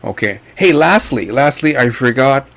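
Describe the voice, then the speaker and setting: A blunt man from Guyana talks viewers through his screen in a casual, mocking rant, swearing as he goes. His voice sits at an ordinary middle pitch for a man. He sounds like an online creator talking straight to his audience. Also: animated, normal speed, fairly bright tone. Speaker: online income youtuber.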